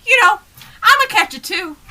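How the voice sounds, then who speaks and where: Exasperated comic stooge, played by a young woman, insistent questioner, very lively swooping delivery, piercing bright tone. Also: extremely high pitch, normal speed, exaggerated voice. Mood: exasperated, loud. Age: young adult. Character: exasperated, confused man in a comedy double act. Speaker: student radio play performer. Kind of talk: comic double-act stage patter.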